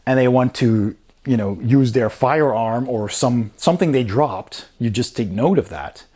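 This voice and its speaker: A man's voice: mid-range voice, a little slow, warm tone, slightly rough. Man giving a casual rulebook review. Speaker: board game reviewer.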